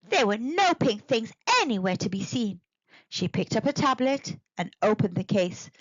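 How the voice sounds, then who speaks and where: A woman narrating a children's storybook in an expressive, deliberate read-aloud manner. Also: a little high, no room sound, lo-fi sound. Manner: animated, deliberate.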